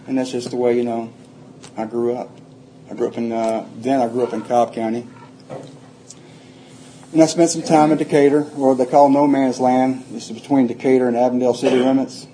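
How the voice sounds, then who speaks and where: Dark-voiced older man. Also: mid-range voice, level tone, brisk, long pauses, slight background noise, poor audio. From Atlanta, Georgia, USA. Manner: plain-spoken, rambling, frequent restarts. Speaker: recovery speaker. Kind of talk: personal life story told to a group.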